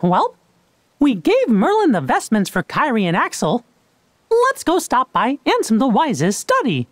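A man's voice: very high-pitched, huge pitch swings, a little slow, no room sound, exaggerated voice. A man voicing a small, kindly cartoon king who talks in a folksy, reassuring way in a video game. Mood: businesslike, friendly.

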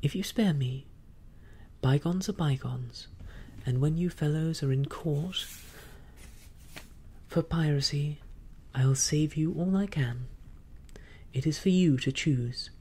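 Man playing a defiant young boy, bold, declaiming, husky, gravelly undertone. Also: a little high, takes it slowly, long pauses, a little mellow, voice pushed up, full character voice. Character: Jim Hawkins, defiant young boy, brave. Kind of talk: audiobook reading.

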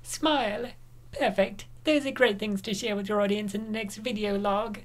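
A woman voicing a brisk, coaxing young woman who eggs on her best friend in a comic dub. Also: medium pitch, fairly even intonation, natural voice.

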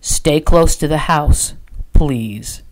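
Stern Western rancher, played by a female narrator: low, terse, commanding, protective. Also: moderate pace, a little mellow, lightly acted. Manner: terse, stern, giving orders.

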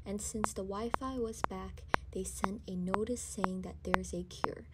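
A woman's voice: mid-range voice, steady tone, a little slow, lightly acted. A teenage girl narrating an amateur audio drama in a scripted storytelling style. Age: young teenager. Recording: noisy amateur student recording.

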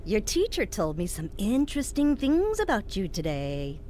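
A woman's voice: medium pitch, huge pitch swings, moderate pace, darkish tone, slight background noise. A woman playing a cruel, angry mother in an audio drama, scolding and belittling with heavy sarcasm. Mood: mocking, sarcastic.

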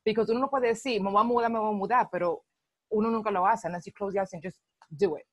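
A woman in her thirties with a Dominican accent chats on a video call, emphatic and lively, mixing in Spanish phrases. Her voice sits at a middle pitch. The sound is dry and close, with no room around it. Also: very fast, dry recording. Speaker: podcast co-host. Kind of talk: casual chat on a video call.

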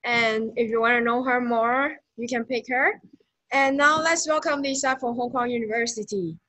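A woman's voice: a little high, fairly even intonation, moderate pace, bright, forceful tone, no echo, low-quality recording. A woman hosting an online event, cheerfully thanking one speaker and welcoming the next. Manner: cheerful announcing. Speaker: event host.

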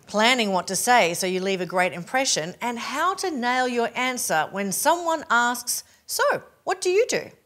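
A woman in her forties with an Australian accent, giving a polished, upbeat scripted pitch straight to camera from a living room set. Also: animated, normal speed, few pauses, a bit bright. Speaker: presenter promoting her keynote talks.

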